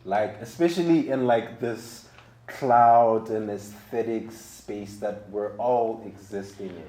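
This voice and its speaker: A man's voice: fairly low pitch, takes it slowly, warm tone. A man chatting casually as an interviewer, agreeing often and echoing what he hears. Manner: affirming, often echoing the guest. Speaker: interviewer, radio host.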